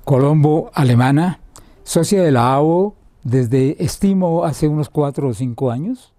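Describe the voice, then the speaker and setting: A man's voice: fairly high pitch, unhurried, dark tone, no echo. An older man interviewing a guest in a friendly, hesitant way with lots of fillers and restarts. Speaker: interviewer for a birding club. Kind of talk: casual conversational interview. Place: bright room with large windows, indoors.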